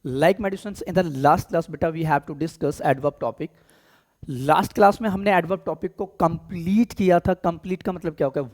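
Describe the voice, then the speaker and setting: A hoarse man with an Indian accent teaching a live online class, speaking gently and slowly and clearing his throat often because of a sore throat. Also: fairly high pitch. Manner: affectionate, calls students "beta", clears throat often; deliberately soft, slow speech. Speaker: online exam-prep English teacher. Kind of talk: live online class, Hindi-English mix.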